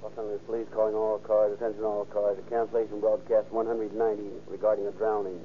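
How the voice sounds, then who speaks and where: Monotone American male police dispatcher, noisy radio. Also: on the low side, quick pace, muffled recording. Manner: flat, official monotone. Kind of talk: clipped police dispatch broadcast.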